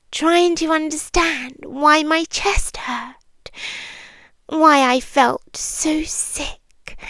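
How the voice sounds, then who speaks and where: Woman acting a high-voiced British yandere monster girlfriend. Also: vocal fry, no echo, exaggerated voice. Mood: hurt, intense. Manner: hushed, deliberate, dramatic pauses, slipping into whispers. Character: possessive yandere monster girlfriend, confessing her obsession. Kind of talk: ASMR roleplay, intimate and slow.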